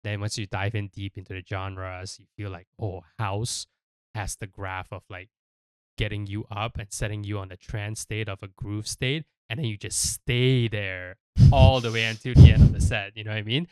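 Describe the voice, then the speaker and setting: A man's voice: lowish voice, steady tone, moderate pace, a little mellow, clean tone, dry recording. A man from Malaysia hosting a casual podcast, explaining things in a rambling way and often checking in with "right?" and "you know". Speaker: podcast host.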